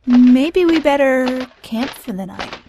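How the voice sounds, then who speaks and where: A woman's voice: high voice, expressive, takes it slowly, mellow, a little hiss or hum, full character voice. A woman voicing a coy, flirtatious, lonely female dwarf in a comic cartoon fandub. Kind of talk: animated comic fandub acting.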